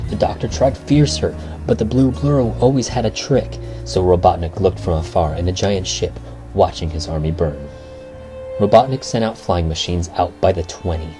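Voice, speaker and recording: Low-voiced man telling a storybook tale. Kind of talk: scripted rhyming bedtime story narration. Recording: music behind the voice.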